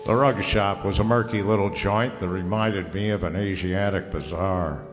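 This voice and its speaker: An actor playing a wry, laconic private detective in an old-time radio noir drama, slangy and dry. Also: male voice, deep voice, steady tone, moderate pace, close to an everyday voice. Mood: narrating, wry. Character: wry, hardboiled male private detective narrator. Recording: reverberant hall, music underneath.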